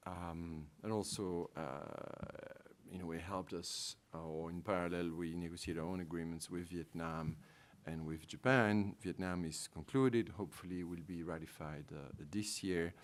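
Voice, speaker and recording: A middle-aged man with a French-speaking Belgian accent, a trade negotiator giving halting panel remarks full of ums and restarts. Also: sits low, fairly even intonation.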